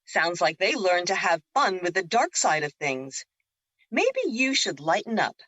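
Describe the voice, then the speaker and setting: Woman acting bright, teasing spirit Luna. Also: lowish voice, very animated, a little slow, no room sound, lo-fi sound, full character voice. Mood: playful, teasing. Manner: wry, needling. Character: Luna, playful, teasing, unafraid female spirit. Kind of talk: audio drama acting.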